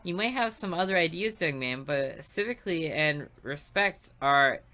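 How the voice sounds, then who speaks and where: A woman's voice: low voice, expressive, moderate pace, sharp tone. A woman voicing a stern, strict female schoolteacher who scolds in a prim, formal way. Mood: scolding.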